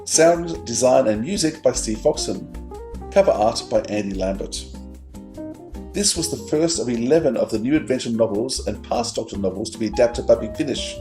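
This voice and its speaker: A man's voice: medium pitch, lively intonation, normal speed, music in the background. A man co-hosting a fan podcast, presenting facts and chatting. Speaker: podcast co-host. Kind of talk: trivia read-out and fan chat.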